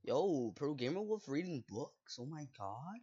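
Woman gamer w/ really deep voice, teasing her friend, kinda dull lo-fi mic. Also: big pitch swings, unhurried, dark tone. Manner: playful, teasing, gasping and exclaiming. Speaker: gaming channel host. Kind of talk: casual gameplay chat with a friend.

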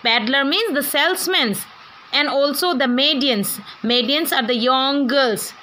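A woman with an Indian English accent teaches an online class at an unhurried pace. Her voice sits high and bright as she explains lines and asks students questions. A faint hiss hangs behind the recording. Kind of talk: online class lesson, reading and explaining a poem.

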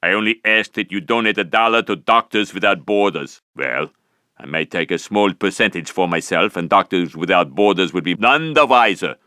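An actor playing a vain, muscle-bound action movie star in a cartoon video game, with an Austrian-German accent and pompous, deadpan, clipped delivery. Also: male voice, sits low, moderate pace, no room sound, studio quality. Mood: deadpan, cocky.

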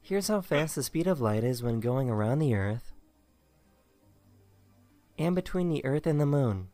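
A narrator reading short scripted lines over internet clips, in an even, plain delivery. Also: mid-range voice, unhurried, no echo, faint music behind. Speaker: clip show narrator.

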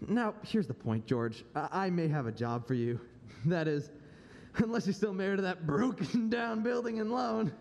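A man's voice: lively intonation, moderate pace, darkish tone. A young man playing a brash, jovial businessman in a live radio play. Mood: boastful, eager. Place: large auditorium stage, standing at mic. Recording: reverberant auditorium, noisy rumble.

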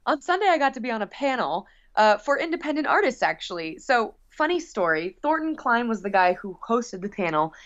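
A woman musician chatting casually as an interview guest, gushing and rambling excitedly. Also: fairly high pitch, brisk, hardly any pauses. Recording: slightly dull, lo-fi audio.

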